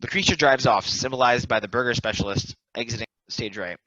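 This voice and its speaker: A man's voice: medium pitch, a little fast, pushed and bright, no room sound. A man reading stage directions as the narrator of a table read, on a slightly dull home microphone.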